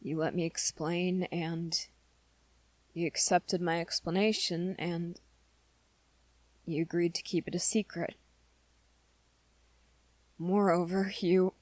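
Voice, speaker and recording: A woman playing an earnest, lovesick young male werewolf in an intimate ASMR roleplay, confiding softly and haltingly in a friend. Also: fairly low pitch, no echo. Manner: hesitant, halting, soft-spoken confession.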